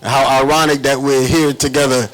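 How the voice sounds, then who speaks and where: A man's voice: mid-range voice, level tone, normal speed, low-quality recording. A man, a guest author, telling an anecdote casually in an interview.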